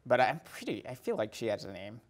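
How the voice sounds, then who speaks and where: A man with a medium-pitched voice chats about film as a podcast guest. His delivery is casual and halting, feeling for words and peppered with "like". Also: very animated. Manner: hesitant, halting, often repeats "like".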